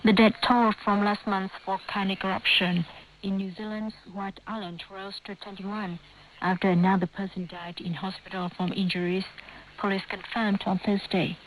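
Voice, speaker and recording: A woman's voice: mid-range voice, little pitch movement, normal speed, a little hiss or hum, low-quality recording. A woman reads scripted news as a radio presenter over a shortwave broadcast.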